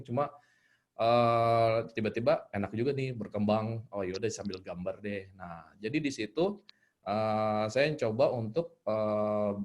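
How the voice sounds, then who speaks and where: Low-voiced man in casual online talk. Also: a little mellow. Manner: informal, hesitant, searching for words. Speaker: guest storyteller sharing tips. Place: online live video call.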